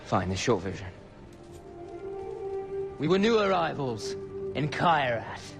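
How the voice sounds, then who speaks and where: An actor voicing a rambling, laid-back British stoner in a video game, with a matey, hesitant way of talking. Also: male voice, medium pitch, big pitch swings, takes it slowly, vocal fry, exaggerated voice. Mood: spaced-out storytelling. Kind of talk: comic video game dialogue. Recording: music behind the voice.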